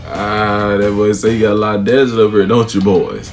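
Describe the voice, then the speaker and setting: A man's voice: fairly low pitch, little pitch movement, fairly quick, faint music behind. A man with a Texan accent hosting a reaction video, talking casually to his viewers in a laid-back way. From Texas.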